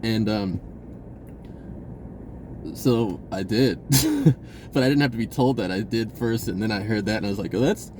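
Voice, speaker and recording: A man telling a personal story in a casual, rambling vlog style, acting out bits of dialogue in an animated way. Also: lowish voice, unhurried, long pauses, slight background noise. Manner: animated, acts out dialogue, chuckles often.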